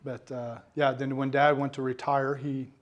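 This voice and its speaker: A man's voice: medium pitch, little pitch movement, moderate pace, lo-fi sound. A man who owns a family bakery, answering interview questions politely and modestly. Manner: polite, says "yes, sir", modest. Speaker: bakery owner, guest.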